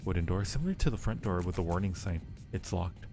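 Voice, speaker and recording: A man's voice: low-pitched, expressive, quick pace. A man livestreaming a horror game, chatting playfully with viewers, muttering to himself and reading on-screen text aloud. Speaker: live streamer. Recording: music playing behind the voice. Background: game music.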